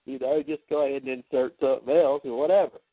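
A man talks through spiritual ideas with animated energy and acts out the voices he quotes. His voice comes over a thin, phone-like line. The sound is dry and close. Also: mid-range voice, fast, no room sound. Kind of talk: spiritual discussion.

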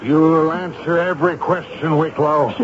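An actor playing a stern, sardonic army major in a 1970s radio drama, commanding and dryly sarcastic. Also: male voice, on the high side, steady tone, normal speed, background noise, close to an everyday voice. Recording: dull lo-fi radio recording with music.

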